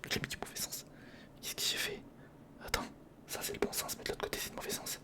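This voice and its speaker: An ASMR creator, speaking in a breathy whisper close to the microphone, casually talking through hands-on work and repeating short phrases. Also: fast. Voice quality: breathy, mostly unvoiced whisper. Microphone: close studio recorder microphone.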